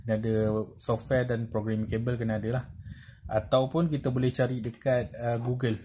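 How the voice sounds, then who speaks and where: A man with a Malaysian accent giving an informal hands-on tutorial, explaining step by step in a halting way with frequent check-in tags. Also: mid-range voice, little pitch movement, mellow. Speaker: presenter of a tutorial. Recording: clearly noisy amateur recording with clicks.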